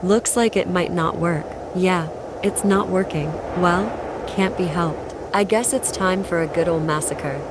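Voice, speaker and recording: A sweet-talking young devil woman, acted out by a woman for a manga dub. Her voice sits somewhat low, soft and coaxing with calm menace. Clear street noise runs through the recording. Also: unhurried. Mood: cold menace. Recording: noisy track with street ambience.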